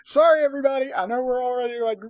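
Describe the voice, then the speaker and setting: A man's voice: very high voice, fairly even intonation, rapid-fire, no room sound, muffled recording. Tipsy, sarcastic man reading aloud. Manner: sweary, sarcastic. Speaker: host, narrator. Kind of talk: casual comic read-aloud with commentary.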